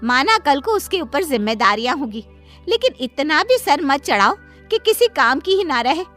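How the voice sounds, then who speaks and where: A meddling neighbor lady in a cartoon story, as a woman puts her on, with a very high, shrill voice. She lectures with busybody certainty, and soft music plays faintly behind her. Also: very animated, moderate pace. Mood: lecturing. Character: nosy preachy middle-aged female neighbor.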